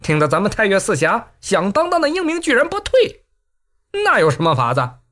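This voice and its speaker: A loud-mouthed, rough bandit who brags in a raised voice, as a male storyteller puts him on. His lines sit higher than the narration and jump around a lot. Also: brisk, full character voice. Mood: boastful. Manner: boastful, excited. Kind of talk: storytelling audiobook.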